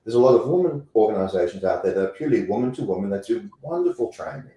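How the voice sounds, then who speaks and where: A man's voice: lowish voice, lively intonation, quick pace, mellow, roomy sound, highs cut off. A man with a South African accent, talking as a guest in an interview in an emphatic way and repeating phrases for effect. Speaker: guest, company president. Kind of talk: interview conversation.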